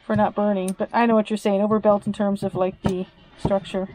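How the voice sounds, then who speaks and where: A Canadian woman chatting to viewers in a van, lively and quick to laugh. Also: mid-range voice, a little hiss or hum, poor audio. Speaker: van life vlogger. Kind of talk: casual chat to viewers. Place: small van interior.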